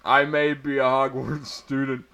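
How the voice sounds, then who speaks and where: A man voicing a defiant, dramatic satanist male student with emphatic pauses in a comic story reading. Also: mid-range voice, flat delivery, pushed and bright, pitched above the performer's own voice.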